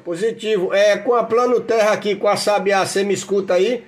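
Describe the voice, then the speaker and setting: High-pitched male voice, Brazilian accent, casual radio operator chat, folksy enthusiasm, noisy room with static. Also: fairly even intonation, a little fast. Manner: folksy, enthusiastic, peppered with slang and radio jargon. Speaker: amateur radio operator and channel host. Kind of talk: casual radio contact chat with running commentary.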